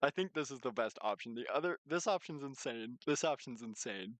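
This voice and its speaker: A man's voice: medium pitch, animated, fairly quick, a bit bright, no echo. A man reading a visual novel aloud as a streamer, mixing narration with casual commentary.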